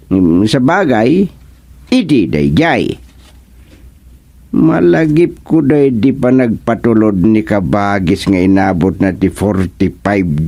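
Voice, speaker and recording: A man's voice: low-pitched, darkish tone, exaggerated voice. A man with an Ilocano accent playing a chatty, folksy village neighbour in a radio comedy drama.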